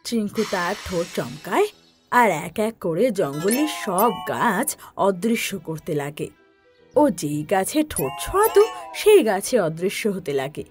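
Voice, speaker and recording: A woman's voice: medium pitch, big pitch swings, measured pace, faint music behind. A woman reading scripted narration for a children's story.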